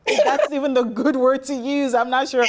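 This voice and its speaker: A woman hosts an interview and answers her guest with admiration. Her voice sits a little higher than most. She sounds encouraging as she asks each question. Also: fairly even intonation, normal speed. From Nigeria. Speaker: interview host. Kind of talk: interview conversation.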